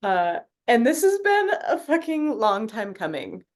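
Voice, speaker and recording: A woman's voice: on the high side, big pitch swings, measured pace. A woman sharing in a group coaching video call, talking in a casual, reflective way, full of fillers and easy swearing. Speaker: coaching participant.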